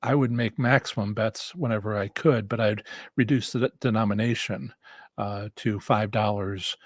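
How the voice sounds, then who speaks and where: A man with a slightly rough, husky voice, worn from talking, hosting a casual instructional live stream in a halting, rambling way with repeated restarts. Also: fairly low pitch, steady tone, no room sound, studio quality. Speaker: host and instructor of a live stream on slots strategy.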